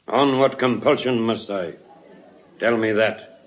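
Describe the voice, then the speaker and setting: An actor playing a vengeful, stubborn moneylender in an audio Shakespeare drama, theatrical and emphatic, moving from hushed menace to crying out. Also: male voice, fairly low pitch, takes it slowly. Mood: defiant. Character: vengeful, stubborn moneylender, middle-aged or older man. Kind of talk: classical stage acting in audio Shakespeare.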